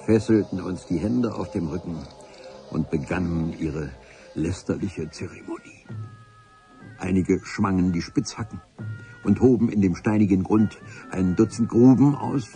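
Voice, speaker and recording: A grandiloquent adventure chronicler with a low voice. He narrates a radio play in solemn, florid, measured phrases, with music clearly behind him. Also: dark tone.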